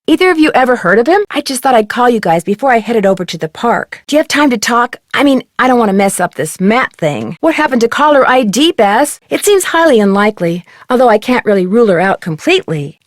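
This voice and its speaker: A woman's voice: a little high, no echo, studio quality. A woman playing an earnest, inquisitive teenage girl detective in a video game, chatting in articulate, conversational lines. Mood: friendly, chatty.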